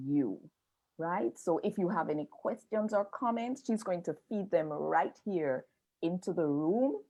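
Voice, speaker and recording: A woman hosting a live video-call interview, laughing freely and talking at an unhurried pace. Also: fairly low pitch, a little mellow. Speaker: interviewer and host.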